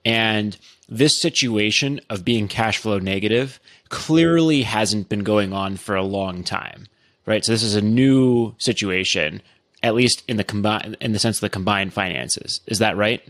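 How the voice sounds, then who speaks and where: A man's voice: lowish voice, a little slow, a bit bright, no echo. Probing male host, dry sound. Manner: probing, stumbles and restarts. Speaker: host, financial advisor. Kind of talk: interview questions and analysis.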